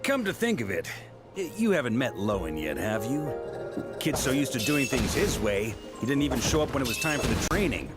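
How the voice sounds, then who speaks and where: A man's voice: mid-range voice, very animated, brisk, loud music behind the voice, close to an everyday voice. An actor playing a boisterous male knight commander who loves a drink, talking in a jovial, folksy way as in a video game. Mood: casual storytelling. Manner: jovial, folksy, clipped storytelling.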